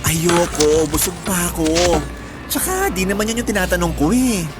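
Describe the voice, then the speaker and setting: Nagging best friend, female played by an actress: slightly low, very animated, exclamatory teasing over loud music. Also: normal speed, lightly acted. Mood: curious, nagging. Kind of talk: Tagalog radio drama dialogue. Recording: loud music bed under voice.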